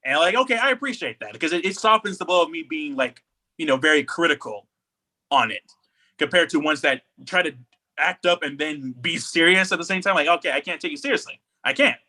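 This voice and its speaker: A man's voice: on the high side, expressive, a little fast, pushed and bright. A man co-hosting a podcast in casual chat, rambling and opinionated, often repeating his phrases. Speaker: podcast co-host.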